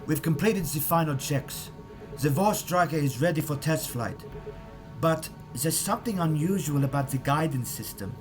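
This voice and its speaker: A man's voice: a little high, lively intonation, moderate pace, faint music behind, natural voice. A man acting an anxious German rocket scientist who reports deferentially in a fan audio drama. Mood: uneasy reporting. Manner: uneasy, earnest, explanatory.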